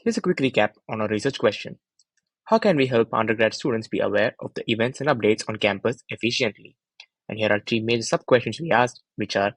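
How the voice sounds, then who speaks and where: A man's voice: lowish voice, husky, no echo, rough recording. A young adult man reading a scripted research presentation as a student narrator, in an orderly, explanatory manner.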